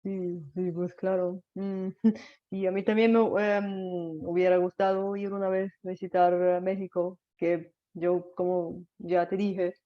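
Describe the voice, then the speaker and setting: A woman interviewing casually in a second language, asking soft, tentative questions with many fillers. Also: fairly low pitch, little pitch movement, a little slow. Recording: slightly dull lo-fi audio.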